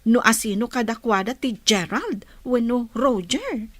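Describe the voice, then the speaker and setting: A woman's voice: a little high, expressive, a little slow, no room sound. A woman playing an inquisitive young female companion in an Ilocano radio drama.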